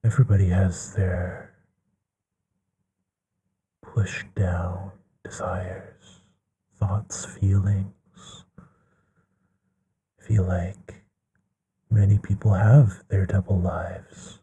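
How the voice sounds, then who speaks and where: A genteel, bookish vampire, acted by a man, speaks in a low, very breathy hush that often drops to a whisper. His delivery is soft, mellow and slow, musing tenderly with long pauses, as an intimate ASMR bedtime roleplay. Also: level tone, heavily acted. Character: courtly, bookish male vampire, gently affectionate.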